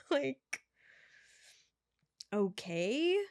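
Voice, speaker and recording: A woman's voice: on the high side, sing-song, extremely slow, clean tone, no room sound, clean studio sound. A young woman vlogger reading her results aloud in casual chat, stumbling over words and adding offhand asides.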